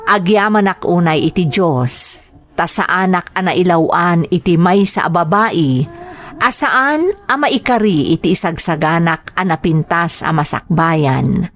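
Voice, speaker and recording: A woman's voice: lowish voice, expressive, a little slow. A woman narrating a scripted first-person drama story in an earnest, reflective manner.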